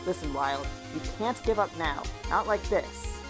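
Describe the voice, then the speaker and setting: A woman playing a firm, encouraging defense partner in a courtroom audio drama, steady and urging. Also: low voice, unhurried, music in the background. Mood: firm encouragement.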